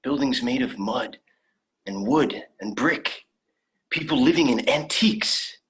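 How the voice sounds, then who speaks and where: A man's voice: medium pitch, animated, unhurried, fairly bright tone. Young alien visitor played by a man, naive and enthusiastic, mid-range male voice that swings freely up and down. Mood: awed, delighted. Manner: wide-eyed, enthusiastic, blithe. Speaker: actor. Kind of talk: dramatic fan fiction performance.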